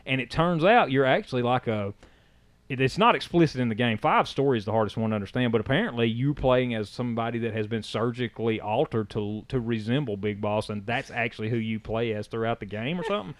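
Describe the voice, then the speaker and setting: A man's voice: medium pitch, quick pace, no echo. Rambling man on a dry podcast mic. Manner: rambling, many restarts and fillers. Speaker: podcast co-host. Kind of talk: casual chat.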